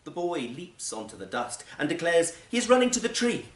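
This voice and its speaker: A man reading a short story aloud at a live event, with measured, deliberate, dramatic phrasing. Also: a little high, big pitch swings. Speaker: actor and audiobook reader. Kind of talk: literary story reading, scripted narration. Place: performance space in a library, live event. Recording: roomy live recording with faint noise.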